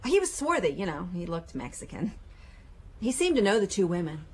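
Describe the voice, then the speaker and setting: A woman's voice: lowish voice, very animated, normal speed, darkish tone. A woman playing a chatty, hesitant waitress in her thirties giving a statement in a radio drama. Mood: chatty, recalling. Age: 30s. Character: thirty-six-year-old working-class waitress giving a police statement.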